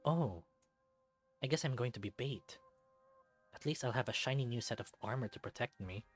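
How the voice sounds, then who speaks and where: A man's voice: mid-range voice, normal speed. A man reading a visual novel aloud as a let's-play, narrating expressively. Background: game music.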